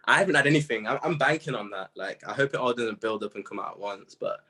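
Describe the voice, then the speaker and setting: A young man chatting casually in an interview, telling stories in an animated, slangy way with plenty of "bro" and "man". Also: medium pitch, fast, slightly rough. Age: young adult. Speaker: music artist being interviewed. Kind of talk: casual chat.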